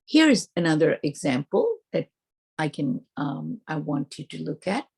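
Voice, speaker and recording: A woman's voice: low-pitched, sing-song, measured pace, creaky, darkish tone, breathy edge. A woman with an Indian accent giving an instructional presentation to teachers, explaining step by step and posing questions that she answers herself. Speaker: teacher trainer.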